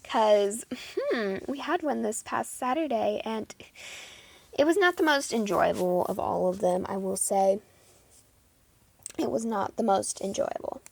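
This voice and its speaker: A teenage girl vlogging in a casual, chatty way, giggling and saying "like" a lot, her voice going a bit hoarse. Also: medium pitch, a little slow, pauses often, darkish tone. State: losing her voice. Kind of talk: casual chat to camera.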